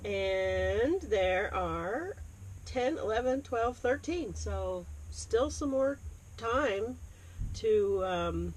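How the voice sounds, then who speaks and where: A woman's voice: mid-range voice, lively intonation, extremely slow, low-quality recording. A woman from Appalachia chatting casually as a co-host about books, in an easygoing, agreeable manner. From Appalachian United States. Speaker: library podcast co-host. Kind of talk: casual book chat. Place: parked library bookmobile. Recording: clear background noise inside vehicle.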